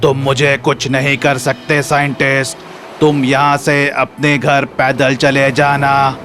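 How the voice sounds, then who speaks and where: A man voicing a menacing, mocking robot wolf villain in an animated children's story, with a slow, taunting delivery. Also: medium pitch, faint music behind, pitched below the performer's own voice.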